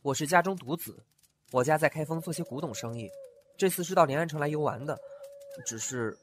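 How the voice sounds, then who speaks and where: A man's voice: on the high side, brisk, a little hiss or hum, lightly acted. A man voicing a kind, flustered young scholar in a costume radio drama, chatty and fretful. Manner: chatty, animated, fretful inner asides. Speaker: voice actor.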